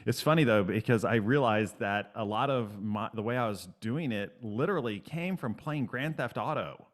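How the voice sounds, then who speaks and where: Brief: male co-host, middle pitch, lively and self-mocking storyteller. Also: normal speed. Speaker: podcast co-host. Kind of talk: casual interview chat.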